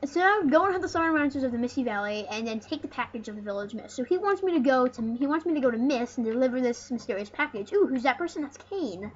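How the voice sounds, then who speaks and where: Female let's play host, higher register, rambling and jokey, sweary at times, on a dull, slightly noisy mic. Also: animated, quick pace, hardly any pauses. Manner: joking, rambling, casually sweary. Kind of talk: casual gameplay commentary, reading game dialogue aloud. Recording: muffled lo-fi mic with background noise.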